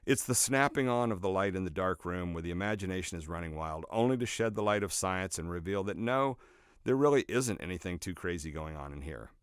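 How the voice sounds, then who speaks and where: A man reading his own nonfiction book as scripted narration, in a measured, expressive storytelling way with emphatic phrasing. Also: deep voice, clean tone, no room sound, studio quality. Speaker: author reading own audiobook.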